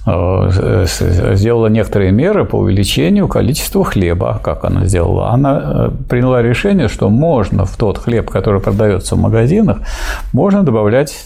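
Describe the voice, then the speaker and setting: A man in a casual discussion, talking animatedly, with sharp pitch jumps for emphasis and mocking quotes of others. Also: very animated, fairly quick, vocal fry, husky.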